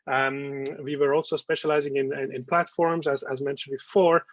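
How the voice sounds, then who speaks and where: A man's voice: mid-range voice, flat delivery, normal speed, no room sound. A man from Switzerland giving a business talk on a video call, hesitating often with "uh" and "um". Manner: hesitant, many "uh" and "um". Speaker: webinar speaker. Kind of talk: business presentation. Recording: dry, dull call audio.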